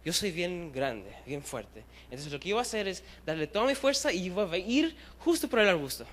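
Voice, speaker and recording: A man's voice: sits high, sing-song, moderate pace, fairly bright tone. A young man in his twenties from Chile, preaching to a youth group in a casual, self-deprecating way and slipping English words into his talk. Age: young adult. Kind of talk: casual storytelling sermon. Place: church hall.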